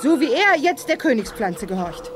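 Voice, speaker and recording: An actress playing a confident young heroine in a sci-fi radio drama, speaking in a crisp, no-nonsense way. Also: female voice, sits high, sing-song, normal speed. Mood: brisk, determined. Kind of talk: 1980s German radio drama acting.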